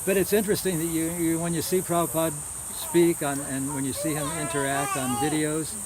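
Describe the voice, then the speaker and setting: Man reminiscing haltingly over cricket noise. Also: a little high, flat delivery. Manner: halting, repeats words as he recollects. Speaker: religious lecturer. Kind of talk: informal reminiscing lecture. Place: housewarming gathering, small live audience. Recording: noisy outdoor-like recording with insects. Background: loud hiss and chirping crickets.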